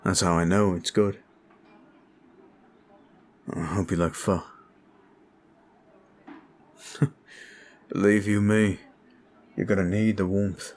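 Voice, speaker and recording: A man playing a calm, courteous, protective vampire in an ASMR roleplay, soft-spoken and halting, with formal phrasing. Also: low voice, slight background noise. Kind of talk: ASMR roleplay audio drama.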